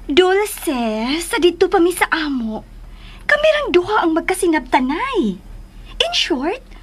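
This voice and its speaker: A woman's voice: very high-pitched, fairly bright tone, full character voice. An actress playing an assertive, haughty young woman in a radio drama, with a pointed, demanding way of talking.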